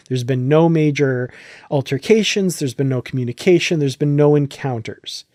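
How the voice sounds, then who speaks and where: A man with a somewhat high voice hosts a casual fan podcast. He lays out his points in an explanatory way, checking that he is being followed. The sound is very dry, with no room to it. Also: darkish tone, no echo. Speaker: podcast host. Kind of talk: casual podcast discussion of a science fiction franchise.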